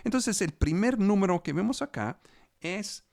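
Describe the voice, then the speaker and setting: A man preaching a sermon as pastor, Spanish not his first language, slow and halting, often repeating words as he searches for phrasing. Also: high voice, animated, gravelly, a little mellow, no echo, clean studio sound. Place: home studio for a live online service.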